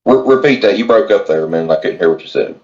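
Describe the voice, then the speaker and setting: A man's voice: sits low, animated, fast, darkish tone, muffled recording. An American man chatting casually as an angler guest in an interview, laid-back and plain-spoken, over a remote call. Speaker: podcast guest, tournament kayak angler.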